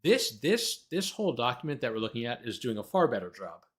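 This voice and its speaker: A man's voice: medium pitch, very animated, a little fast, fairly bright tone, a little hiss or hum. A man who hosts a livestream as a lawyer, reading a document aloud and breaking off into sarcastic, exasperated, argumentative commentary.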